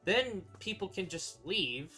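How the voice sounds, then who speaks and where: A young man giving casual gameplay commentary, muttering to himself in halting, half-finished thoughts. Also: high voice, sing-song, bright, forceful tone. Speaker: gamer playing on a stream or recording. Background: game music.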